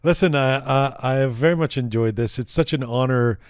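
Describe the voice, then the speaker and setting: A man's voice: mid-range voice, brisk, smooth, dry recording, studio quality. Teasing, friendly male interviewer. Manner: friendly, teasing, stammers on restarts. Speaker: podcast host, interviewer. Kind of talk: conversational interview.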